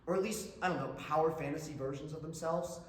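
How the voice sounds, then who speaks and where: A woman's voice: deep voice, quick pace, darkish tone, lightly acted. A woman acting a laid-back, teasing young male gamer in a staged play reading, casual and slangy. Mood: amused, self-mocking. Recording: echoey room, faint noise.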